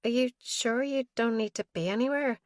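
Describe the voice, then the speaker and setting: A woman's voice: on the high side, moderate pace, no echo, studio quality, voice pushed up, natural voice. A woman narrator voicing an anxious adult daughter who sounds strained and pleading. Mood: subdued.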